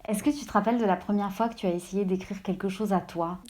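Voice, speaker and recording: A woman interviewer asking a writer soft, curious questions. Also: mid-range voice, fairly even intonation, quick pace.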